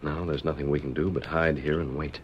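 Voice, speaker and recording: An actor playing a calm, heroic masked lawman in a 1938 radio drama, speaking earnestly and with measured care. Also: rumbling low, dull audio, noisy background.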